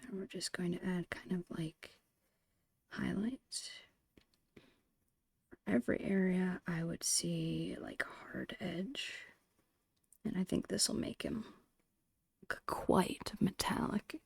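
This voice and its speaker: Asmr lady whispering real slow, crackly breathy voice, kinda chatting thru her art. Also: on the low side, steady tone, darkish tone, no echo, clean studio sound. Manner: unhurried, thinking aloud in short phrases. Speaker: digital artist narrating own painting process. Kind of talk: ASMR, whispered casual tutorial chat.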